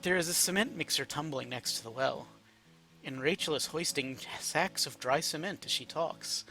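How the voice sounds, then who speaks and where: A man's voice: on the high side, normal speed, bright, forceful tone, soft background music, rough recording. A man reading a second-person story aloud as an amateur narrator.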